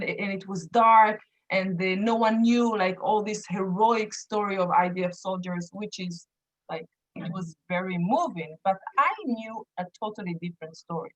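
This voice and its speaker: A woman's voice: mid-range voice, fairly bright tone, rough recording. Earnest woman in her thirties. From Israel. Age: 30s. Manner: earnest, many fillers and restarts. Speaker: activist and association chairperson. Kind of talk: personal account in an interview.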